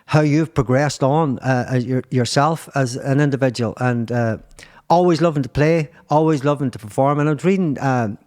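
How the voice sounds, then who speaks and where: A man's voice: medium pitch, normal speed, a little mellow. A man with a Northern Irish accent hosting a casual interview, rambling and hesitant, with a bantering way about him. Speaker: podcast host.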